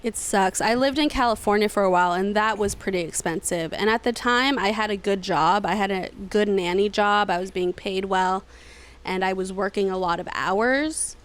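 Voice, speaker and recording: A woman co-hosting a casual podcast, chatty and emphatic, quick to laugh. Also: medium pitch. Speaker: podcast co-host. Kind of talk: casual chat. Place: indoors, seated smoking.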